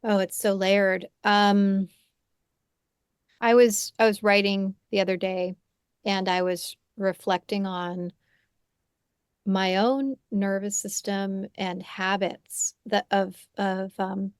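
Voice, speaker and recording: A woman's voice: medium pitch, little pitch movement, takes it slowly, clean tone, no room sound, clean studio sound. A woman in her forties, a podcast host and psychotherapist, speaking thoughtfully in conversation, often restarting and checking in with "right?". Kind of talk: reflective conversational interview. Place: home room. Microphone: headphones on a video call.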